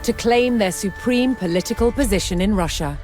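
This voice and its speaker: Woman doing documentary style history narration, measured n dramatic, music behind her. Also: medium pitch. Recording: music under the voice.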